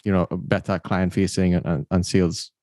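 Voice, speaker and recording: A man's voice: low-pitched, flat delivery, fairly quick, darkish tone, no echo, studio quality. A man hosting an interview, talking thoughtfully and telling stories, often repeating words as he starts his sentences. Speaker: podcast host. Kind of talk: casual interview conversation.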